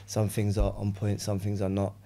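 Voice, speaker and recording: A young man from West London with a London accent, a rapper chatting as a podcast guest, relaxed and rambling, often asking "you know what I'm saying". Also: on the low side, moderate pace. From West London, England. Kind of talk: casual podcast chat.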